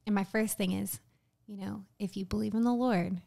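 A woman's voice: medium pitch, steady tone, normal speed, vocal fry, warm tone. A woman in her twenties, a podcast guest, speaking encouragingly about faith in casual talk. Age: 20s.

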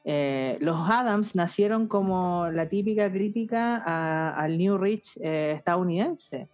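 Blunt Chilean woman, lowish voice. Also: slow pace, a little mellow, lo-fi sound. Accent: Chilean Spanish. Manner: blunt, crude, sardonic. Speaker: podcast co-host. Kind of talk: casual chat.

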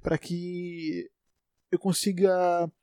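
A man's voice: sits high, level tone, extremely slow, warm tone, clean tone, no echo, clean studio sound. A man in his twenties from Salvador with a Bahian accent, hosting a solo podcast in a rambling, self-correcting way. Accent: Bahian Portuguese. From Salvador, Bahia, Brazil. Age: 20s. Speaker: podcast host. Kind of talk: unedited solo podcast monologue.

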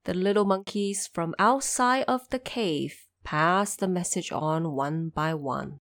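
A woman's voice: lowish voice, slow pace, a bit bright. A woman narrating a classic fantasy story from a script, as a storyteller.

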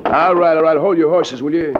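An actor playing a surly, bullying gangster henchman, gruffly commanding and slangy, in an old-time radio crime drama. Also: on the high side, fairly quick, lightly acted. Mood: mocking, irritated. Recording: noisy, dull old radio recording.

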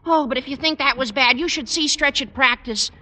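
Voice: high-pitched, bright, forceful tone. An eager, fretful teenage schoolboy. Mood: agitated. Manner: eager, rapid, fretful chatter. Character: excitable teenage boy student, chatty and earnest. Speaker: high school student driving his teacher. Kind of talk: 1950s radio sitcom acting.

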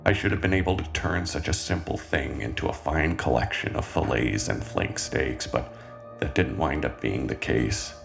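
A man playing a calm, brooding cannibal killer in a scripted horror monologue, speaking in a measured, deliberate way with dramatic pauses and a gravelly voice. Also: rumbling low, exaggerated voice. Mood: calm, wistful. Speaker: writer and narrator of a horror fiction podcast. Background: music soundtrack.

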